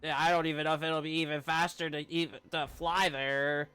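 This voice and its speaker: A man's voice: a little high, level tone, a little fast, bright, forceful tone, smooth. A man giving casual, offhand live commentary as he streams a game.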